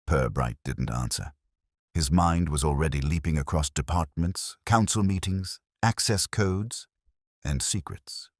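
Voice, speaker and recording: A man's voice: extremely low pitch, measured pace, no room sound, clean studio sound. A man narrating a scripted detective mystery as a storyteller.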